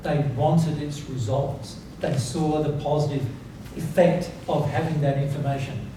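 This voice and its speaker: A man's voice: on the high side, level tone, measured pace. Man, informal spiritual teaching, emphatic repetition, rhetorical questions, heavy room echo, background noise. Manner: emphatic, repeats key words, checks for understanding. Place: reverberant seminar room with audience. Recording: very echoey, noisy room, distant mic.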